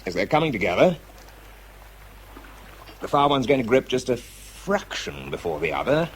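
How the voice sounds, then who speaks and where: An actor with a British accent playing a tense, self-doubting wartime bomb disposal man in a radio drama, shifting between clipped reports and quiet confession. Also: mid-range voice, very animated, moderate pace, slight background noise, close to an everyday voice, rough recording. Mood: tense, concentrated. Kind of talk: radio drama acting, first-person narration and spoken report.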